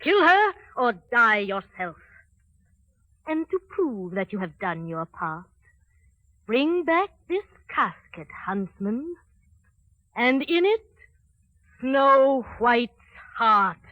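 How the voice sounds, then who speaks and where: Casting: wicked radio-drama queen, highish and deliberate, icy and imperious, swooping delivery, old muffled recording. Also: very animated, no echo. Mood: menacing. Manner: commanding, menacing, measured. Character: cold, imperious, murderous evil queen. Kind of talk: 1930s radio drama acting. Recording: dull, dry 1930s radio recording.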